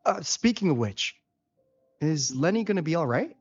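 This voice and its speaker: An actor playing an easygoing, shrewd investigator in a video game, speaking in a casual, wry, husky voice. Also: male voice, fairly high pitch, sing-song, moderate pace, heavily acted. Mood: friendly, considerate. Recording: dull lo-fi game audio, faint music.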